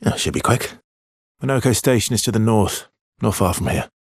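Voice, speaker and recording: Male game hero: weary, guarded expeditioner with quiet regret. Very raspy, low voice, understated and brooding. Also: brisk, no echo, studio quality. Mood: guarded, matter-of-fact. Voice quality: husky, breathy. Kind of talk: video game voice lines, dramatic acting.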